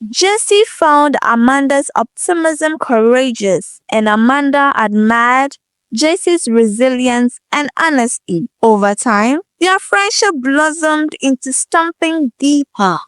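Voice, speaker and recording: A woman narrating a scripted folktale in an expressive, measured storytelling manner. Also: high-pitched, smooth, no echo, clean studio sound.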